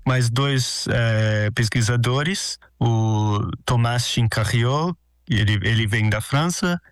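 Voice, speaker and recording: American-accented man, halting answers. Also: mid-range voice, no echo, studio quality. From Brazil and United States. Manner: halting, repeats words while searching. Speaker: guest, political scientist. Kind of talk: interview answers.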